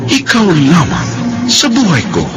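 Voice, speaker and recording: A man's voice: high voice, wildly swooping pitch, a little slow. A man with a Filipino accent giving a dramatic scripted introduction as a radio drama announcer. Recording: echoey, loud music behind.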